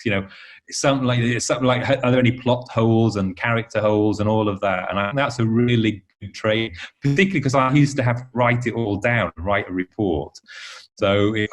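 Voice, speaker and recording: A man from Yorkshire with an English accent, chatting casually as an interview guest in a rambling, self-correcting way. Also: lowish voice. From Yorkshire, England. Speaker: interview guest, novelist and teacher.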